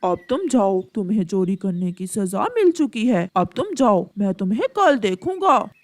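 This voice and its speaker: A woman's voice: mid-range voice, sing-song, normal speed, dry recording, pitched below the performer's own voice, natural voice. A woman voicing an indignant, reproachful male pigeon who is stern but forgiving, in a children's cartoon. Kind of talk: children's cartoon character acting.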